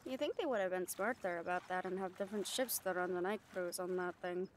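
A woman's voice: fairly low pitch, a little fast, slight background noise, close to an everyday voice. A woman playing a brash, cheeky catgirl pirate in an audio roleplay, bantering with salty sarcasm. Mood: wry, sarcastic. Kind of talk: roleplay audio drama acting.

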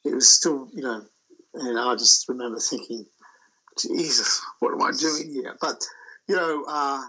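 A man talking about his sailing voyage as an interview guest, telling it in a halting, loose way. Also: mid-range voice, sharp tone, highs cut off. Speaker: solo sailor guest. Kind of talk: casual interview chat.

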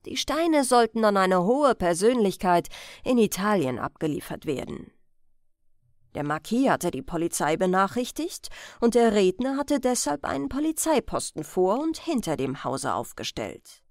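A woman's voice: medium pitch, very animated, normal speed, darkish tone, dry recording, studio quality. A woman narrating a crime audiobook as a scripted reading.

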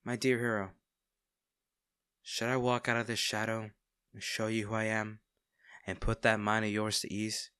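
A man acting as a smitten villain in an intimate audio roleplay, speaking directly to the listener with theatrical pauses. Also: lowish voice, completely flat delivery, sharp tone, smooth, no echo, studio quality. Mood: teasing, smug. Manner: teasing, theatrical, pausing, addressing the listener intimately. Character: male villain, teasing, smitten captor of a hero.

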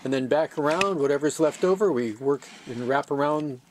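A man's voice: on the high side, normal speed, a little hiss or hum. An older man giving a casual, plain-spoken how-to narration in a matter-of-fact way. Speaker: sailor demonstrating boat engine repair.

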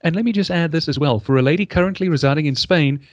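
A man's voice: fairly high pitch, fast talker, no echo, dull audio. A man with a South African accent hosting a podcast interview in florid, theatrical phrasing. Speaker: podcast host. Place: indoors, remote video call. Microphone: large desk microphone, close.

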